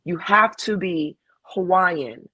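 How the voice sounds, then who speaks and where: A woman's voice: fairly low pitch, slow, pushed and bright, slightly rough, dry recording. A woman chatting casually as a panel guest over a video call, blunt and emphatic, often profane. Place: home in Hawaii.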